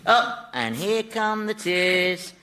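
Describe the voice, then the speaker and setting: A sardonic, bright-voiced Satan. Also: male voice, sits high, lively intonation, slow, exaggerated voice. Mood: gleefully scornful. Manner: sarcastic, theatrical mockery. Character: sardonic, gleefully cruel Satan, mocking sinners. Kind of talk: radio sitcom acting.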